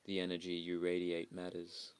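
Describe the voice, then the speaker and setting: A man delivering a dramatic spiritual monologue in solemn, deliberate short declarative phrases. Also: deep voice, completely flat delivery, clean tone.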